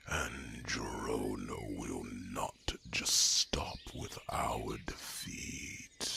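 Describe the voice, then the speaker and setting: A man voicing a huge, ancient, wise dragon in a slow ASMR fantasy roleplay, speaking in a gravelly, breathy half-whisper with deliberate pauses and rumbling hums. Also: pushed and bright, exaggerated voice.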